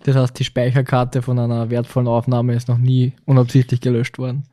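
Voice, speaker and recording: Mellow guy on a podcast, pretty flat delivery, dry teasing, no room echo. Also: mid-range voice, brisk. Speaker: podcast co-speaker asking questions. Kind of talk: casual podcast chat.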